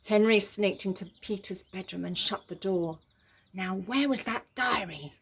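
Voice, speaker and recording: A woman's voice: medium pitch, unhurried, breathy edge. A woman narrating a children's story in a dramatic, emphatic way. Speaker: story reader.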